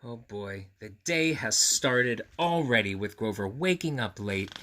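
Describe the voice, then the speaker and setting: A man hosting a children's story time, reading aloud with gentle, rambling asides and questions to his young listeners. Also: lowish voice, animated, a little slow, distant mic. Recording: roomy echo, clear background noise.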